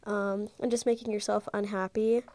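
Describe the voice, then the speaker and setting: A woman's voice: medium pitch, level tone, normal speed, darkish tone. Congested young woman chatting on a podcast. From Ohio, USA. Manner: chatty, rambling, many fillers like "like" and "um". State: congested, stuffy nose. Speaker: podcast host. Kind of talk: casual solo podcast chat. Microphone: handheld mic.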